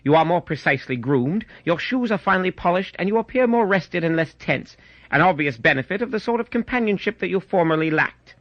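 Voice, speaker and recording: Guy doing a pompous victorian detective, brisk and precise, pitch swoops all over, radio story, bit dull audio. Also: expressive, a bit bright, no echo. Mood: self-assured. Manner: pompous, precise, rapid deductions. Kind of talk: audiobook radio-style pastiche.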